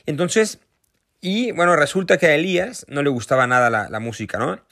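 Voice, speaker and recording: A man's voice: on the high side, lively intonation, fairly quick, fairly bright tone, dry recording. Earnest Mexican man, dry close sound. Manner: earnest, thoughtful, with frequent hesitations. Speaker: guest parent, association founder. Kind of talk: recorded video message.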